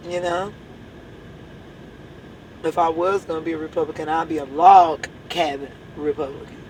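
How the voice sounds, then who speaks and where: A hoarse woman with a drawl leads her church in an impassioned address. She hammers at the same rhetorical questions again and again, pressing each point home. A faint background hum sits under her voice. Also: low-pitched, moderate pace, a little mellow, poor audio. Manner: emphatic, repeats rhetorical questions. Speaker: church leader.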